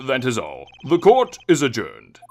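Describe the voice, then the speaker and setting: Guy doing a solemn old-school courtroom judge, super slow, pitch swings all over. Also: wildly swooping pitch, a little hiss or hum. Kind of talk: visual novel fan dub.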